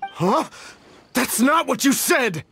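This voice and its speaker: A man's voice: extremely high pitch, sing-song, takes it slowly, fairly bright tone, slight background noise, exaggerated voice. An actor playing an anxious, deferential middle-aged official in a video game, an earnest and easily flustered worried father. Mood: distraught. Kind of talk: video game character acting.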